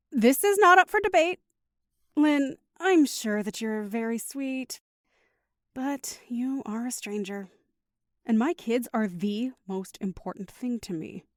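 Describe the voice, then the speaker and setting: Firm protective mom, patient but bossy, fairly high voice, dry close sound. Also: female voice, no echo. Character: firm, protective, teasing mother of two. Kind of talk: audio drama acting.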